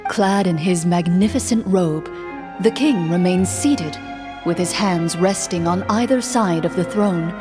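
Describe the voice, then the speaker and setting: Woman as a measured, theatrical game master, light reverb. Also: on the low side, music in the background, lightly acted. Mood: solemn narration. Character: game master narrating and playing a suave, scheming prime minister. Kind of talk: tabletop game narration in a video game.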